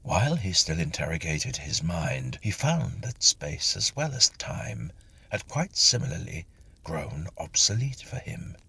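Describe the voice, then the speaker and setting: Deep, husky, animated male storyteller. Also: measured pace. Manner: theatrical, precise, storyteller delivery. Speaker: story narrator and reader. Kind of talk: expressive literary audiobook narration of a whimsical ghost story.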